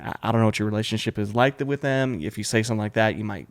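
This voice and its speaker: A man's voice: fairly low pitch, very fast, no room sound, studio quality. A young man from New Mexico co-hosting a podcast, talking casually in loose, rambling sentences with restarts. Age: young adult. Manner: relaxed, talks in loose restarts and asides. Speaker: podcast co-host. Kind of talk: casual chat with his wife.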